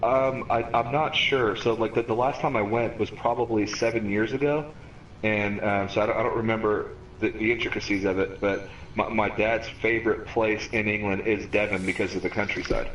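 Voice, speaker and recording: A man's voice: fairly low pitch, steady tone, normal speed, fairly bright tone, muffled recording. A man in his thirties, raised in America, hosting a casual gaming stream chat and rambling with repeated words as he thinks aloud. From Cayman Islands, raised in America. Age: 30s. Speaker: stream host. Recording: roomy, distant mic with faint noise.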